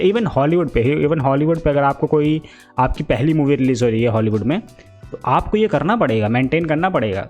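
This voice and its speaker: A young man talks through his thoughts in a loose, wandering vlog style, dropping English phrases and fillers as he goes. His voice sits a little high, with faint music playing under it. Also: a little mellow. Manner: musing, mixes English into Hindi, thinks aloud with fillers. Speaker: film vlogger. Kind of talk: casual Hinglish vlog commentary.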